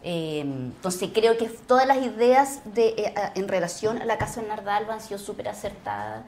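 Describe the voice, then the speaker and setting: A Chilean woman talks casually as an interview guest, thinking aloud and reaching for the next word. Her voice sits at a middle pitch. The room adds clear echo, with faint noise behind her. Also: normal speed, a little mellow. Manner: reflective, searching for words, piling up lists. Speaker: actress, podcast guest. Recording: roomy echo, background noise.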